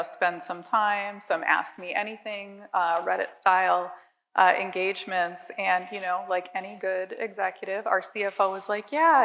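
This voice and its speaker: A woman's voice: medium pitch, a bit bright. An American woman telling a casual story to a live audience as a conference panelist. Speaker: conference panelist, head of recruiting. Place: indoor conference hall, on stage. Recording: reverberant conference hall.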